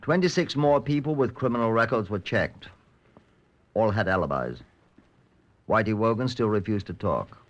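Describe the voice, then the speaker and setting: An actor playing a dry, determined chief inspector who narrates a vintage radio police drama in measured, understated tones. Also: male voice, on the low side, expressive, close to an everyday voice. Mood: measured, matter-of-fact. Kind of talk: golden age radio police drama acting. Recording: dull, lo-fi old radio recording.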